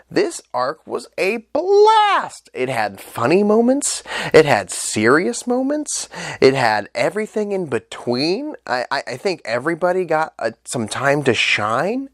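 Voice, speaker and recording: A man's voice: a little high, wildly swooping pitch, unhurried, no room sound, clean studio sound. A young man with a stuffed-up nose, chatting casually and eagerly as he reviews an anime, with loose fillers and the odd swear. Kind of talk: casual solo review vlog.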